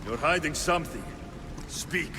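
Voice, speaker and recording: An actor playing a hot-tempered, blunt young Greek warrior, forceful and challenging, in a video game drama. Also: male voice, a little high, animated, measured pace, over music. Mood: accusing.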